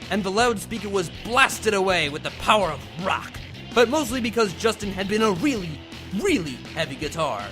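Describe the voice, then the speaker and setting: A man reading comedic fan fiction aloud as a host, with a theatrical, mock-dramatic delivery. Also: sits high. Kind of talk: animated comedic story narration.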